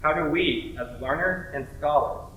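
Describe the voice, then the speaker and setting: A man's voice: fairly high pitch, normal speed, a bit bright. A young man student performer reciting a scripted spoken-word readers theater piece. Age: young adult. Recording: echoey distant mic, faint noise.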